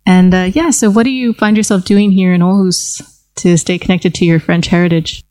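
A woman's voice: on the low side, fairly even intonation, normal speed, dark tone. A woman from the United States hosting a casual table conversation, chatty and full of fillers and restarts. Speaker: host.

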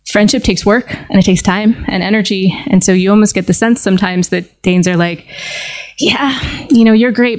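A woman hosting a casual conversation at a table with friends, talking thoughtfully and pausing with fillers. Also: medium pitch, a little mellow. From United States. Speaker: podcast host. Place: seated at a table with others.

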